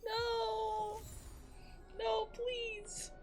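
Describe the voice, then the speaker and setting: A woman's voice: very high voice, monotone, extremely slow, soft background music. A woman game streamer chatting and reacting casually, with playful, dramatic pleading and exclaiming.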